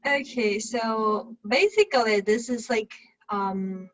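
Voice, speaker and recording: A woman from Japan speaks English with a Japanese accent at a slow, halting pace. She often stops to search for words as she answers questions. Her fairly high voice comes through dull, lo-fi video call audio. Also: lively intonation. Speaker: guest, Zen eating workshop host. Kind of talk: interview answers.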